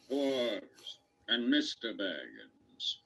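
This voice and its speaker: An actor playing a solemn dwarf leader in a fantasy radio play, pompous and oratorical. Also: male voice, medium pitch, huge pitch swings, extremely slow, vocal fry, slight background noise, full character voice, poor audio. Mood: grand, solemn.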